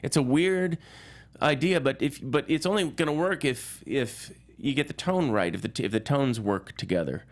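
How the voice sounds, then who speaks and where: A man's voice: medium pitch, normal speed. A man chatting casually as a film reporter on a talk show panel.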